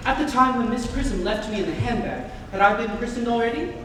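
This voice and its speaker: A young man playing an excitable, earnest Victorian gentleman in a theatrical reading of a stage comedy. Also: very high-pitched, fairly quick. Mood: anxious. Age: young adult. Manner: theatrical, exclamatory. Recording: echoey hall from far off, noisy.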